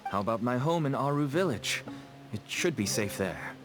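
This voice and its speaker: A man's voice: medium pitch, expressive, moderate pace, close to an everyday voice. An actor playing a nervous, ingratiating merchant father with a shady trade in a video game. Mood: anxious, placating. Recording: game music behind the voice.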